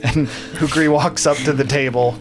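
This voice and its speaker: A man's voice: medium pitch, fairly even intonation, normal speed. A man working as game master, narrating an improvised tabletop roleplaying story in a conversational way and explaining events to his players. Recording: music behind the voice.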